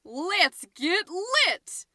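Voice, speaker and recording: A woman's voice: very high-pitched, very animated, extremely slow, sharp tone, no room sound, studio quality, exaggerated voice. A woman playing a chatty, scatterbrained, overexcited middle-aged suburban mom who hosts a comedy podcast, rambling, interrupting herself and stressing words theatrically. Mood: grandly excited. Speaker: podcast host. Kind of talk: comedic character monologue as a homemade podcast.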